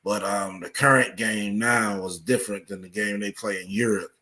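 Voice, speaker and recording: Low-pitched American man explaining as a guest. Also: fairly even intonation, normal speed, pushed and bright. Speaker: interview guest, researcher of sports history. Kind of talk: podcast interview, explanatory.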